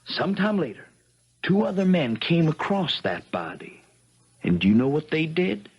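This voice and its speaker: An actor playing an earnest, dogged American country lawyer in a 1970s radio drama, husky and folksy, with dramatic insistence. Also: male voice, fairly high pitch, big pitch swings, moderate pace, highs cut off, exaggerated voice. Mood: triumphant, insistent.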